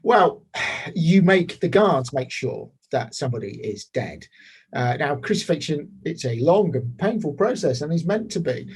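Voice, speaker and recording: An actor playing a casually callous, self-justifying Roman governor giving courtroom testimony in a hesitant, dismissive, conversational way. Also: male voice, a little high, very animated, unhurried, breathy edge, full character voice. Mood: callous, matter-of-fact.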